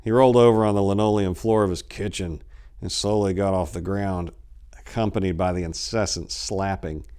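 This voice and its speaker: A man's voice: sits low, fairly even intonation, moderate pace, darkish tone, clear, resonant tone. A man in his forties giving a dramatic reading of a short story into a lapel mic. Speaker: story reader.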